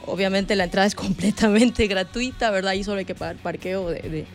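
A woman with a Salvadoran Spanish accent speaks as an interview guest over faint music. Her voice is mid-pitched, and her delivery is casual, chatty and giggly. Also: a little fast.

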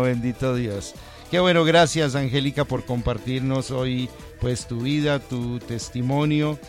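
Gentle priest hosting and reading scripture. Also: male voice, medium pitch. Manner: gentle, affirming, reads scripture solemnly. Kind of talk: pastoral interview and Gospel reading.

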